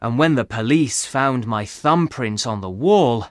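An earnest, relieved young man, as a narrator puts him on, his voice raised high above the reader's own and full of feeling. He speaks slowly and deliberately, and the recording is dry and close. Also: animated, dry recording, close to an everyday voice. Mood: grateful. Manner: earnest, emotional thanks. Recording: dry close studio sound.

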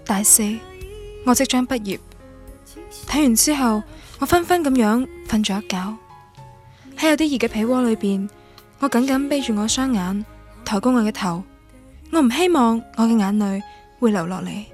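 An actress as a young female student with a fairly high voice and Guangdong Cantonese accent, narrating a radio drama. She is wistful and literary, reflecting on first love over a music bed. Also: animated, normal speed, long pauses, a little mellow, close to an everyday voice.

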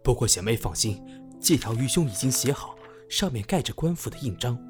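Man acting young magistrate, courteous, humble, formal phrasing, medium pitch, costume audio drama, light music. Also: lively intonation, brisk, close to an everyday voice. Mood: courteous, apologetic. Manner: formal, deferential.

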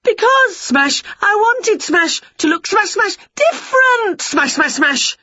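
An excitable, cheeky storybook witch, acted out by a woman, pitched high and swinging widely up and down. She grumbles comically and exclaims in homely slang. The recording is a little dull. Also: a little slow, no room sound, full character voice. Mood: panicked. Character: excitable scatty witch with skinny legs, daft and cheeky.

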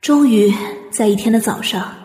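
An actress playing a composed, ambitious young hotel cook in a Mandarin radio drama, measured and coolly cynical. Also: female voice, fairly high pitch, mellow, husky, rough recording. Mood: reflective. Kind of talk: Mandarin audio drama acting with narration.

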